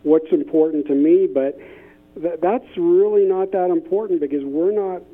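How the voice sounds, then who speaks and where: A man's voice: high voice, normal speed. Man on a tinny phone line. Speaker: interview guest, business innovation consultant. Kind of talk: conversational interview explanation.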